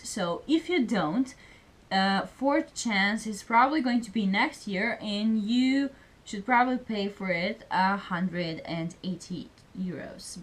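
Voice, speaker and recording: Young woman talking to camera, chatty, says like a lot, middle-pitched voice. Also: fairly bright tone. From Serbia. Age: young adult. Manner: chatty, many fillers, speaks English as a second language. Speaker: student vlogger. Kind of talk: casual vlog chat.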